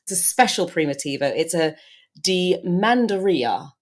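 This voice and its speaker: Podcast guest: woman, low pitch, British-sounding accent, chatty and laughing. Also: animated, moderate pace. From Turks and Caicos Islands. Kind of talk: casual interview chat.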